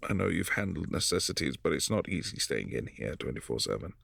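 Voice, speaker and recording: A man voicing a brooding, possessive adult vampire prince in a boyfriend ASMR audio drama, speaking softly and often in a hushed whisper. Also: rumbling low, very animated, fairly quick, creaky, breathy edge, no echo, exaggerated voice. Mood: calm, concerned.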